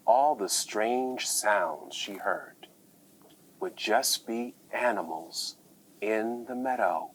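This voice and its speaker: Casting: kindly children's storyteller, unhurried, animated intonation, patient and soft, game cutscene. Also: male voice, lowish voice, a little hiss or hum, low-quality recording. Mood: gentle, wistful. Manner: soft, measured, expressive storyteller. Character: gentle, patient man reading storybooks to children. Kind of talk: video game acting, children's story time.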